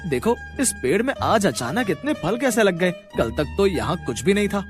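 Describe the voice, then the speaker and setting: A man voicing an eager, cheerful village tea seller in a children's story, excitable and emphatic. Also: high voice, normal speed, over music. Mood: amazed.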